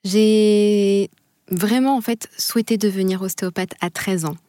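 A young adult woman from Bordeaux, answering interview questions with enthusiastic, expressive storytelling and a few hesitations. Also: mid-range voice, a little slow, dry recording, studio quality. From Bordeaux, France. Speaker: guest.

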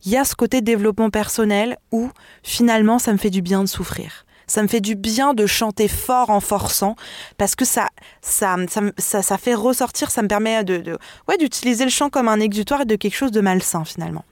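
A woman's voice: medium pitch, fairly quick, a little mellow, dry recording, studio quality. A woman, a singer and vocal mentor, talking expressively as a radio interview guest, often laughing and restarting her sentences.

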